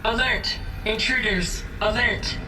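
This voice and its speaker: A woman's voice: a little high, slow pace, noisy background. Impersonal security computer, female, game. Clipped, formal, heard from afar with heavy echo. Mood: alarmed. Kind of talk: video game computer announcement. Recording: echoey, distant, under very loud music.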